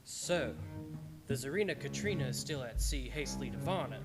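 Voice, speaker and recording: A man's voice: mid-range voice, lively intonation, normal speed, natural voice. An actor playing a grave young Englishman who reads his journal aloud in a radio drama. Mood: grave, measured. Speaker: actor narrating. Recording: music bed under live-streamed performance.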